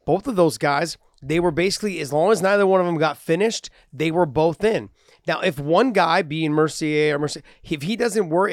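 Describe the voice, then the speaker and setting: A man co-hosting a casual sports podcast, talkative and animated, explaining his views at length. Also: high-pitched, no room sound, studio quality. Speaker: podcast co-host.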